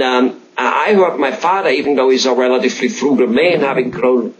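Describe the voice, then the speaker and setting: A man's voice: mid-range voice, lo-fi sound, a little hiss or hum. A man from Austria interviewing a doctor, talking in a rambling way with many fillers and restarts. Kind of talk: casual interview chat.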